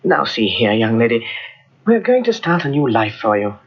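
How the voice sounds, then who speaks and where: An actor playing a formal, gentlemanly, solicitous family doctor with a husky voice in an old radio drama. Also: male voice, mid-range voice, very animated, moderate pace. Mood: courting, earnest. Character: courtly, solicitous male family doctor and suitor. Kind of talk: old-time radio acting. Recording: dull old radio recording.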